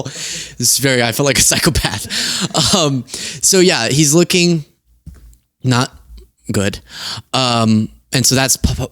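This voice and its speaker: A young man hosting a political commentary show from his studio desk, talking casually and animatedly with a giggly, tired manner. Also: dry recording. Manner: animated, giggly, quick asides and sudden high outbursts. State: tired from lack of sleep. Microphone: earpiece and studio mic.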